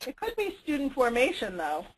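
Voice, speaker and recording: A woman's voice: high voice, wildly swooping pitch, a little fast. A woman leading a group conference call as organizer, talking informally and tentatively, thinking aloud and checking in with the others. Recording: noisy conference call line.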